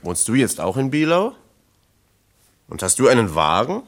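A man's voice: mid-range voice, sing-song, normal speed, very noisy. A man playing an earnest, persistent East German factory comrade in a German radio play, persuasive and plain-spoken. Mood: questioning, insistent.

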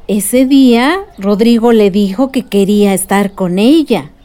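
A mellow-voiced woman played by an actress tells a story at a middle pitch. She explains patiently, in radio drama style, over faint music. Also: a little slow, natural voice. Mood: patient, serious. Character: patient female storyteller explaining events to a child.

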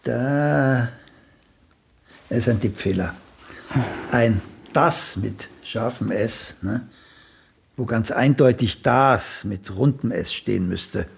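A male actor in a German radio play, playing an older celebrated playwright who is a condescending, bluntly critical mentor with a gravelly, husky voice. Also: medium pitch, slow, long pauses, a little hiss or hum. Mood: pedantic.

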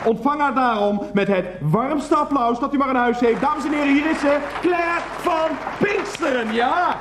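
An actor playing a smarmy, gushing game-show presenter in a radio play, theatrical and repetitive. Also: male voice, very high voice, moderate pace, background noise. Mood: jovial. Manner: unctuous, theatrical, repetitive, dramatic. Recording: echoey studio with clapping crowd.

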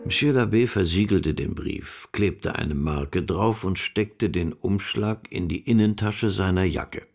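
A man's voice: sits low, measured pace, gravelly, darkish tone, faint music behind. A man narrating a radio drama from a script as the storyteller.

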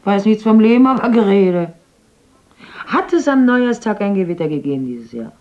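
An actress playing an older, superstitious village widow in a radio drama, who talks in wary, questioning turns. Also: female voice, medium pitch, normal speed, natural voice. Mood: brooding. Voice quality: lively, questioning. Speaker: widow of a stargazer in a radio play. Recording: slightly dull old radio recording.

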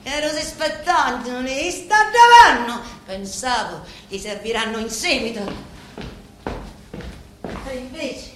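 An actress playing a bitter, scheming woman of a mafia family in a stage drama, with a Sicilian accent and a deliberate, theatrical delivery that swells suddenly. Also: sits high, expressive. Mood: brooding, intense. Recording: very echoey room, faint background noise.

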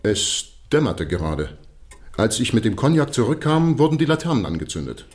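A man's voice: medium pitch, sing-song, normal speed, lightly acted. An actor playing a deferential, sheepish police constable in a radio drama, respectful and plain-spoken as he explains himself. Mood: respectful, earnest.

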